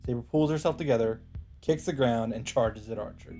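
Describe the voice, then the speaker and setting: A man narrates a story aloud as a let's play host. His voice is medium in pitch and dark, mellow in tone, with faint music behind. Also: fairly quick. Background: faint game music.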